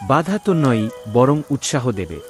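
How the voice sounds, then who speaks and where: A man's voice: mid-range voice, sing-song, a little slow, faint music behind. A man playing a good-humoured, romantic, patriotic young army captain in a cartoon story. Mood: playful, earnest.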